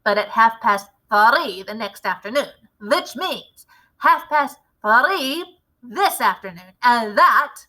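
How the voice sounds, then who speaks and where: A woman voicing an imperious, gloating Grand High Witch with a theatrical, deliberate, commanding delivery in a storybook reading. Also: expressive, bright, forceful tone, full character voice, rough recording.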